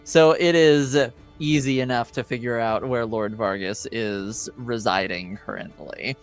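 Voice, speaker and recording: Smooth, slow-spoken male game master. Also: medium pitch, steady tone, faint music behind. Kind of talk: casual improvised game narration and rulings.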